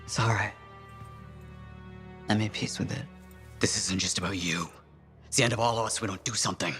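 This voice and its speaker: A man's voice: fairly low pitch, fairly bright tone, close to an everyday voice. Man acting an erratic, unravelling eccentric. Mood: resigned. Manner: rambling, erratic, theatrical. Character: unravelling eccentric man, fragmenting mind, fatalistic yet excitable. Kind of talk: video game voice acting.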